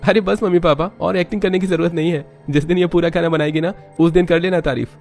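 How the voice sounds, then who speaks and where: Narrator as young husband, man, lifted pitch, casual, calm, teasing, cartoon story, music behind. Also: fast talker, creaky. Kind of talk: Hindi cartoon story dialogue.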